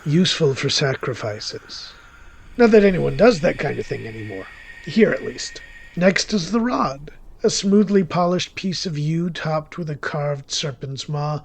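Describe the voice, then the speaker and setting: An actor playing a wry, secretive male witch who narrates a horror-thriller audio drama in a theatrical, deliberate manner. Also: on the high side, husky, full character voice. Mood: hushed, relishing. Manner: wry, theatrical, deliberate, with sudden swells and drops. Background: music under the voice.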